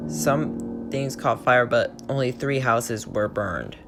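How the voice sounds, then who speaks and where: A man at a middle pitch reads a creepy internet story aloud to his channel, keeping a plain tone and tripping over words before starting lines again. Guitar music plays clearly behind his voice. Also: slow, fairly bright tone. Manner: plain reading with frequent stumbles and restarts. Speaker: channel host and story reader.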